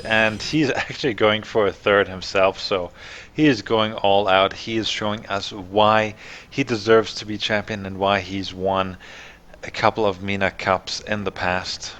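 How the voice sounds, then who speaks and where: A man born in Germany casting a live strategy game, relaxed and conversational, thinking aloud with fillers. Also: on the low side. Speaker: esports caster.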